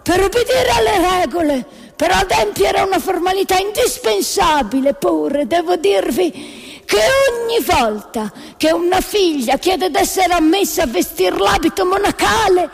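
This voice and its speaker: A woman acts out a bishop for a satirical stage play, pompous and fawning. The voice sits very high and stretches its words out in ceremonious declamation. Music plays clearly behind it. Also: expressive, in a large hall, full character voice. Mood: fawning, formal. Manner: drawn-out, declamatory, hesitant deference. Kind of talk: theatrical satire.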